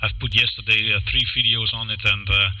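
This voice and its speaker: A man's voice: on the low side, little pitch movement, a little fast, sharp tone, poor audio. A man whose first language is Dutch, speaking English hesitantly with many fillers as an amateur radio operator. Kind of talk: ham radio contact.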